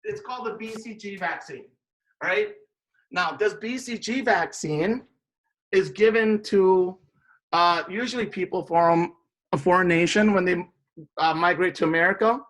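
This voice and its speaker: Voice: medium pitch, measured pace, fairly bright tone, poor audio. An instructor from Indonesia giving a classroom biology lecture, explaining step by step and often checking in with students.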